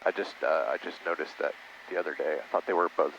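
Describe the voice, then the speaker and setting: Low-pitched gravelly man in noisy cockpit. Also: fairly even intonation, fast talker, lo-fi sound. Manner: informal, slangy. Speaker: student pilot. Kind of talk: casual cockpit chat and radio calls. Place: small airplane cockpit in flight. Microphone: aviation headset intercom. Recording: noisy small aircraft cockpit, engine drone.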